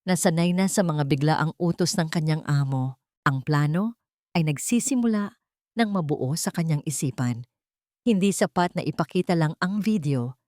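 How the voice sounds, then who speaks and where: A woman's voice: sits low, lively intonation, normal speed, darkish tone, dry recording, studio quality. A woman storyteller reading dramatic scripted narration for an audio drama.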